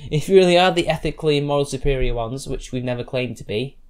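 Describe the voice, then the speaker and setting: A man delivering sarcastic reaction commentary in a middle-pitched voice. His talk is loose and rambling, full of restarts, with a combative, dismissive edge. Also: quick pace, darkish tone, clear, resonant tone. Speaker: YouTube commentator. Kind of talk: reaction commentary rebutting read-out posts.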